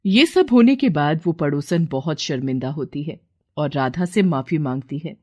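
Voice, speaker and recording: A woman's voice: sits low, animated, normal speed, a little mellow, dull audio. A woman narrating a scripted moral story as a storyteller.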